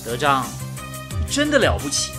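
A man's voice: medium pitch, huge pitch swings, moderate pace, over music. An actor playing a thoughtful young male radio engineer in a radio drama, asking mild, curious questions. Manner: inquisitive, mild. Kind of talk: 1930s-set radio drama acting.

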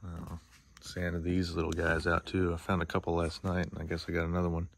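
Slow, gravelly low-voiced man. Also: slight background noise. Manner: relaxed, easygoing, thinking aloud. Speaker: hobby boatbuilder filming his project. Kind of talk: casual workshop vlog. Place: cluttered home garage workshop.

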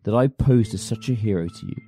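A man playing a bitter, self-loathing poor man who swings from shouted rants to low, brooding talk. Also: a little fast, husky, soft background music. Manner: melodramatic, lurching from shouted rants to low narration. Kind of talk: comic amateur drama reading.

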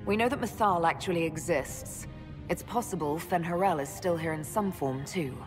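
An actress voicing a young woman who leads an order in a video game, speaking directly with crisp questions. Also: lowish voice, normal speed, music in the background, natural voice. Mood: steady.

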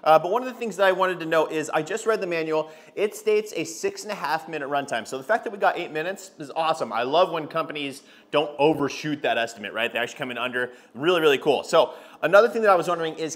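Chatty man reviewing gear, slightly high voice. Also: a bit bright. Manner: chatty, addresses viewers as guys, frequent fillers. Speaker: car detailing channel host. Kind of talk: casual product review vlog. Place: garage workshop, outdoors by a van, and a home hallway.

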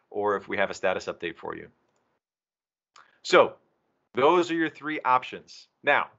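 A man's voice: medium pitch, a bit bright. A man giving a conversational, explanatory slide walkthrough as a presenter, with emphatic phrasing, over a video call. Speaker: presenter from a software documentation team. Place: online video meeting. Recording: dull, compressed video call audio.

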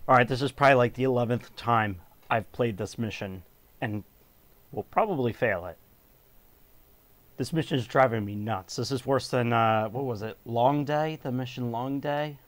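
A man's voice: medium pitch, normal speed, dry recording. A man giving casual, exasperated commentary while playing a video game, in a hesitant way. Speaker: gamer recording a playthrough.

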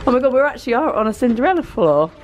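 A woman's voice: fairly high pitch, sing-song, rapid-fire, background noise. A British woman chatting casually and playfully as she films a family vlog, full of exclamations. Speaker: family vlogger. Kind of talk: casual chat while filming. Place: on board a cruise ship. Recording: busy crowd chatter behind. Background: crowd chatter.

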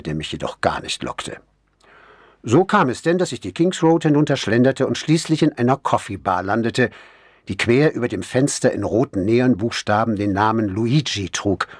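A man narrating a crime novel in the first person, reading in a measured, expressive literary manner. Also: on the low side, slightly rough, dry recording, studio quality. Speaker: audiobook narrator.

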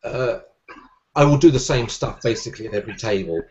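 A man in his forties with a Southern English Sussex accent, a magician speaking with crisp diction and quick energy in a casual video-call interview. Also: mid-range voice, muffled recording. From England. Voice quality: clear diction. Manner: fast, energetic talker, gestures while speaking. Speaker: magician guest. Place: room at home, indoors. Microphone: webcam on a video call.

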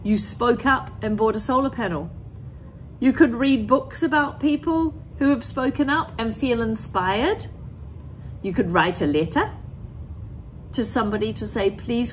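A middle-aged woman speaks like a primary teacher reading to her class. Her voice is fairly high and swings expressively, with slow, deliberate phrasing aimed at children. It is recorded in a classroom with some background noise. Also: poor audio. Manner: expressive, deliberate phrasing, prompts listeners with questions. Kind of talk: picture-book read-aloud and talk to children. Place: empty school classroom, indoors.

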